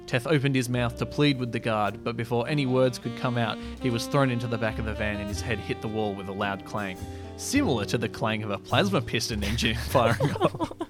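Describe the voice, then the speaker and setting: A man's voice: mid-range voice, animated, brisk, music in the background. A man hosting a podcast, reading his own sci-fi story in a mock-dramatic, self-amused way and chatting casually. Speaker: podcast host and amateur story writer.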